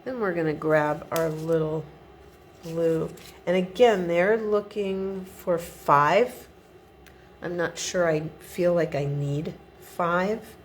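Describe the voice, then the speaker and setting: A woman's voice: fairly low pitch, takes it slowly, a little hiss or hum. A middle-aged woman giving a chatty step-by-step card-making tutorial at her home craft desk, sniffly from a cold. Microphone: overhead camera above the desk.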